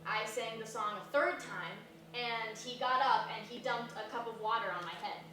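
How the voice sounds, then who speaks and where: Flighty young woman an actress's stage role, higher-pitched, rambling comedy delivery; very echoey, distant pickup. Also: normal speed, bright, forceful tone. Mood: earnest, rambling. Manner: rambling, hesitant, filler-laden. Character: flighty, blunt young woman, a fame-hungry college dropout. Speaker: stage actor in a staged reading. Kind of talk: naturalistic stage comedy dialogue. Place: live staged reading in a reverberant room. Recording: echoey room from far off, noisy.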